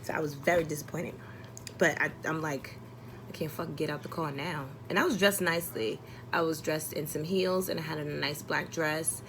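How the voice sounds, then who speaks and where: A woman with a fairly low voice tells a personal story in a casual vlog style. Her delivery is expressive and comedic, with plenty of exasperation and swearing. There is some noise behind her voice. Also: normal speed. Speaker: vlogger telling a story.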